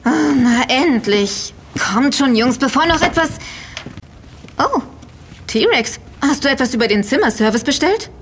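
An actress playing a young, impatient, sardonic and quick-witted woman in an audio drama, teasing her friends dryly. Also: high-pitched, expressive, fairly bright tone, a little hiss or hum. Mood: impatient, sarcastic. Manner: teasing, dry sarcasm. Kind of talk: German audio drama dialogue.

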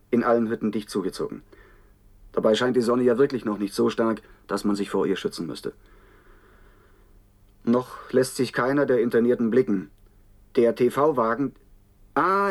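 A man playing a state television reporter giving measured, observational live commentary in a radio play. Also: medium pitch, a little mellow, natural voice. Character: male TV reporter narrating a state broadcast from a camp.

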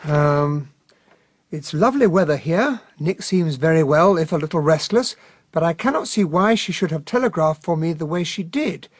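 An actor playing a genial, earnest English gentleman, a detective's loyal sidekick, mild and polite with an English accent in a radio drama. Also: on the high side, lively intonation, normal speed, slightly rough, dry recording. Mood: earnest, puzzled. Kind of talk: radio drama narration and dialogue.

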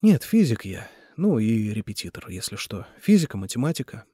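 A man voicing an agitated young male physicist defending the woman he loves, in a husky voice, in heated outbursts. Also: fairly low pitch, big pitch swings, moderate pace, dark tone, no room sound, clean studio sound. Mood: plain.

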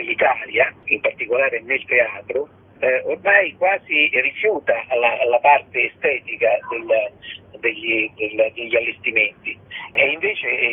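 Man, medium pitch, hesitant interview guest, searching for words, thin telephone sound. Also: slightly rough. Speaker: theatre festival artistic director, radio guest. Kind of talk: interview answers. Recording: narrow phone line with background noise.

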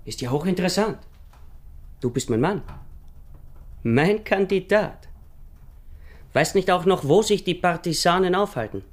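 An actor playing a menacing, mocking partisan posing as a soldier in a radio drama, crude and barking, with an Austrian accent. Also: male voice, sits high, animated, unhurried, pauses often. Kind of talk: German-language radio drama acting.

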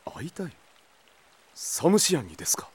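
An actor playing a loyal, courteous knight captain with serious, formal speech in an audio drama. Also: male voice, fairly high pitch, huge pitch swings, moderate pace, darkish tone, slightly rough, heavily acted. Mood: dutiful. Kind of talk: anime-style audio drama acting.

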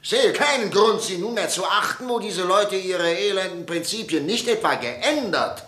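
Older professor, stern father, clipped speech, lively higher pitch, radio play. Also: normal speed. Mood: indignant. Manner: stiff and clipped, sinking to a broken whisper. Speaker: parliament member. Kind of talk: classic radio drama acting.

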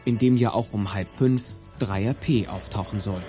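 A man's voice: lowish voice, normal speed, lightly acted. A man narrating a youth detective radio play in scripted storytelling style. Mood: neutral storytelling. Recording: music under studio narration. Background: music.

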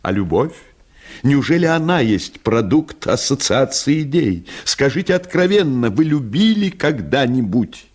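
A man's voice: mid-range voice, very animated, measured pace, a little mellow, pitched above the performer's own voice. A man voicing an earnest, pretentious lady playwright who declaims her melodrama in an affected manner. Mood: melodramatic.

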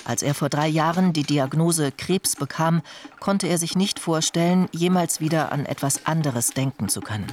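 Narrator for scripted documentary copy, middle register. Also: mid-range voice.

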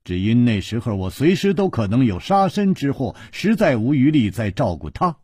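A man's voice: medium pitch, sing-song, moderate pace, warm tone, husky, no room sound, clean studio sound, pitched above the performer's own voice. A man voicing a troubled, puzzled martial-arts hero in a storybook, in a hesitant, bemused manner. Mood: explaining, troubled. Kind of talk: Chinese wuxia storybook character voice.

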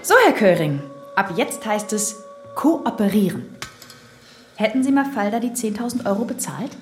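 An actress playing a put-upon police detective in a radio crime drama, pointed and indignant, in a roomy space. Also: female voice, mid-range voice, animated, moderate pace, lightly acted. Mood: stern. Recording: roomy sound, faint music behind.

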